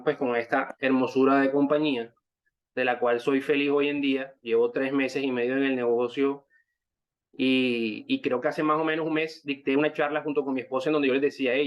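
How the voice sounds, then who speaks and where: A man of thirty-five with a Barranquilla accent from Colombia's Caribbean coast, giving a wordy personal testimonial to an online group, circling back over his phrases. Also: a little high. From Colombia, Caribbean coast (Barranquilla, born in Santa Marta). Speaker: network marketing member giving a testimonial. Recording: dull, muffled online-call audio.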